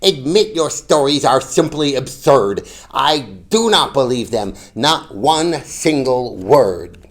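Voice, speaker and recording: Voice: mid-range voice, animated, slow pace. Reader putting on a stern, pompous male schoolteacher. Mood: exasperated. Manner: haughty, scolding, clipped emphatic commands.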